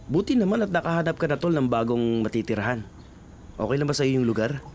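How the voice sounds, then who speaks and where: A man's voice: mid-range voice, animated, fast, darkish tone, soft background music, natural voice. An actor playing a supportive, easygoing young male friend in a Filipino radio drama, talking in a casual, chummy way.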